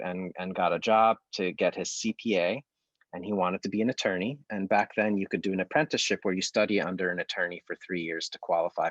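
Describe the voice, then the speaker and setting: A man in conversation about his family and work, talking haltingly with many fillers and restarts over a video call. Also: low-pitched, dry recording, dull audio. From United States. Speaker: artistic director. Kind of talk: conversational interview.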